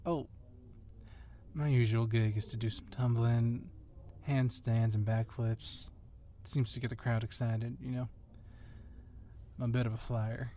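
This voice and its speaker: This is a man acting as a fit, nimble cop in an audio roleplay. His mid-pitched voice is soft-edged and mellow, over faint background noise. He comes across as confident, casual and flirtatiously admiring. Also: flat delivery, unhurried, pauses often, natural voice. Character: athletic, agile, admiring yet confident police officer.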